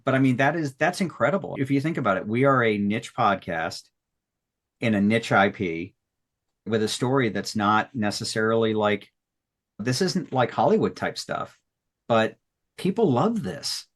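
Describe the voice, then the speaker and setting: Low-voiced man, earnest and sentimental. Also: lively intonation, moderate pace. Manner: earnest, sentimental, emphatic with repetitions. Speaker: podcast creator and writer. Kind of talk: heartfelt unscripted retrospective talk.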